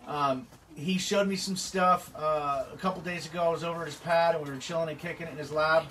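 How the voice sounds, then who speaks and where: A man's voice: a little high, fairly even intonation, fairly quick, fairly bright tone, distant mic, slight background noise, poor audio. A man hosting a casual livestream chat about an RC car race, rambling with lots of fillers. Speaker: RC racing event organizer.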